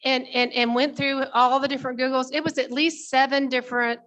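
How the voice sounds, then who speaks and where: A woman's voice: high voice, little pitch movement, fairly quick, fairly bright tone. A woman taking part in a meeting with informal remarks and interjections. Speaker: meeting participant.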